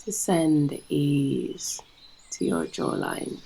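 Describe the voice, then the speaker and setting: A British woman guiding a yoga nidra meditation in a soft, breathy, soothing manner with long pauses. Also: extremely low pitch, huge pitch swings, a little hiss or hum. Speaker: yoga nidra guide and host. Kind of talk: guided meditation narration.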